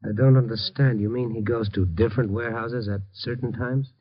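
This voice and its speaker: An actor with an American accent playing a terse but compassionate investigator who narrates a 1950s radio drama. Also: male voice, medium pitch, steady tone, brisk, close to an everyday voice. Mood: probing. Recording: dull lo-fi vintage radio recording.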